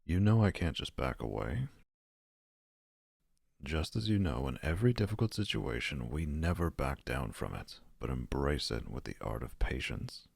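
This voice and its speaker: A gentle younger brother with a poet's soul in an audio drama. His voice is extremely deep and lively in its movement, with a crackling gravel to it. He speaks hesitantly and tenderly, choosing poetic words. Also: normal speed, heavily acted. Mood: earnest. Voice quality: gravelly, husky. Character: gentle lovestruck poet, younger brother, patient and evasive.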